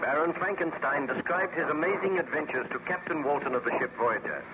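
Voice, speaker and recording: A man's voice: fairly high pitch, animated, brisk. A man announcing and narrating an old radio serial in a scripted, formal storytelling style. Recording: very noisy muffled old radio recording.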